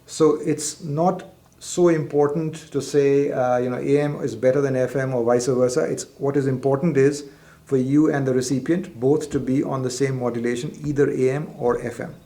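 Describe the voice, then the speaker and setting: A man's voice: medium pitch, fairly even intonation, normal speed, mellow. A man presenting a product in an instructional demonstration, explaining methodically step by step.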